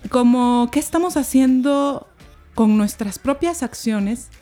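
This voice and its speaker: A woman's voice: high-pitched, animated, dark tone, faint music behind. Thoughtful woman on a podcast. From Peru. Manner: thoughtful, measured, rhetorical questions. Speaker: podcast guest. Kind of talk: reflective conversation.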